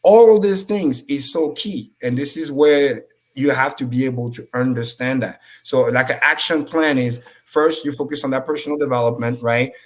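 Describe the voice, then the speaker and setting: A man whose first language is French, giving high-energy, profane motivational talk as a livestream co-host. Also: normal speed.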